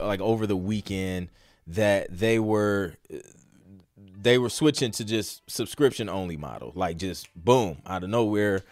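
A man's voice: on the low side, no echo. A man hosting a podcast in casual, slangy talk, often repeating phrases as he goes. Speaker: podcast host.

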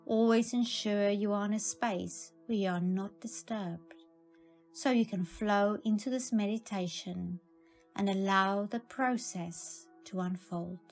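A woman narrating a scripted guided meditation in a calm, soothing, measured manner. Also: mid-range voice, soft background music.

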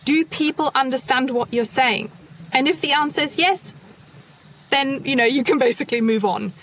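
A woman consultant speaking as a podcast guest, measured and thoughtful, with frequent soft fillers. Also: high-pitched, slight background noise, low-quality recording. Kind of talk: podcast interview.

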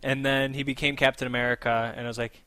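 A man's voice: mid-range voice, level tone, fast talker, sharp tone, smooth, no echo. A young man cohosting a gaming podcast, teasing the others and steering the talk to new topics. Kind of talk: casual gaming podcast chat.

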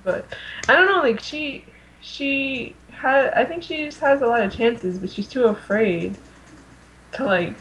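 A woman's voice: on the high side, a little slow, fairly bright tone, slight background noise, poor audio. A woman co-hosting a fan podcast, talking casually and a little hesitantly with lots of "like.". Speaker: podcast co-host.

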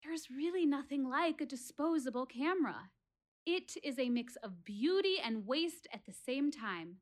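High-voiced, wistful woman in a stage monologue, acted. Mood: musing. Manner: deliberate, expressive, confiding. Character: reflective, wistful woman quizzing her audience about life. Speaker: actress in a one-woman show. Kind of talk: theatrical dramatic monologue addressed to an audience.

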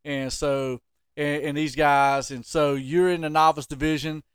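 A man hosts a relaxed sports podcast. His voice sits a little high and sounds clean and smooth, in a dry recording. He talks casually and likes to needle whoever he is chatting with. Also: measured pace, no echo. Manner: teasing, ribbing. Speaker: podcast host.

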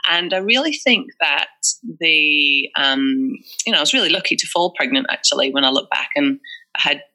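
Guest voice: Northeast English woman, forties, chatty, sharp bright tone. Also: low-pitched, animated, moderate pace, poor audio. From northeast England. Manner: chatty and candid, many fillers and restarts. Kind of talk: casual conversational interview.

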